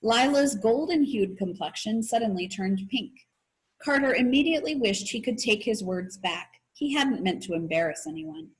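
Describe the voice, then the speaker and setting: A woman reading a children's novel aloud like a teacher, in an expressive, animated storytelling manner. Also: mid-range voice, moderate pace, poor audio. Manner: animated storytelling with voices for characters.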